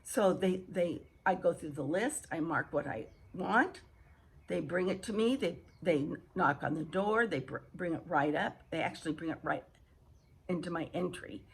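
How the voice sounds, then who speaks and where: Female vlogger, low husky morning voice, rambling informal chat with halting restarts. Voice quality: husky, hoarse. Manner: halting, repeats and restarts phrases. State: early-morning hoarse voice. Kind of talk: casual rambling chat to viewers. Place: outdoor home patio.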